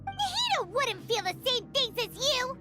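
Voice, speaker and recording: A woman voicing a tiny, excitable fairy girl with a squeaky childlike voice, blunt and easily flustered, in a video game. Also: unhurried, over loud music, full character voice. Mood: indignant. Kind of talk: cartoonish video game cutscene acting.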